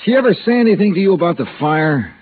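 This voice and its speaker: An actor playing a dogged, wry American insurance investigator in a 1950s radio drama, clipped in narration and casual when questioning people. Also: male voice, high-pitched, lively intonation, fast talker, crowd noise. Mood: pressing. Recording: muffled vintage radio recording with music.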